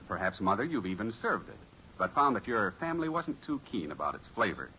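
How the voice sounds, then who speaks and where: Male vintage commercial announcer, bright, persuasive, animated, with dull hissy sound. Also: lowish voice, a little fast. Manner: polished, emphatic, persuasive. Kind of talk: 1950s radio advertisement. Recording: muffled, hissy old radio recording.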